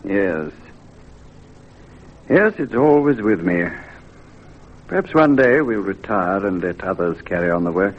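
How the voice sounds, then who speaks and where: A man's voice: low-pitched, lively intonation, measured pace, long pauses. An actor playing a weary, wistful pioneer husband, earnest about his work, in an old-time radio drama. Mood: wistful, resigned. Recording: thin, phone-like vintage radio sound.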